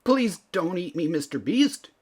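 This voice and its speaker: Man doing a stammering, frightened old man, high-pitched. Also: sing-song, measured pace, a little mellow, slightly rough, exaggerated voice. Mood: terrified, pleading. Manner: stammering, pleading. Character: terrified, pleading old man, a father. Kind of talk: storybook character voice.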